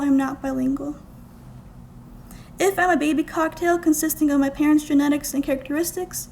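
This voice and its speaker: A young woman from the United States reading her own writing aloud at a live event indoors, with deliberate, expressive phrasing. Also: high voice, vocal fry, a little hiss or hum. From United States, small-town Minnesota. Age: young adult. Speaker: student writer reading own work. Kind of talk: scripted literary reading aloud. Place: college art gallery, indoors.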